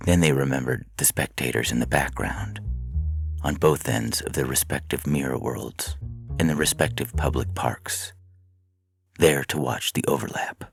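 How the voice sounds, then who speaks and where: A man with a deep, husky voice reading a scripted science fiction story as narrator. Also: steady tone, normal speed, faint music behind.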